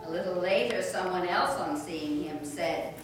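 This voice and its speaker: A woman reading scripture narration in a measured, deliberate way during a church service. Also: on the low side. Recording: very echoey church, distant mic, noisy.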